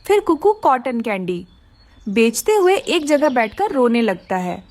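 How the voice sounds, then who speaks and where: High, animated woman storyteller. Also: a little slow. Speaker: narrator. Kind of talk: scripted children's story narration.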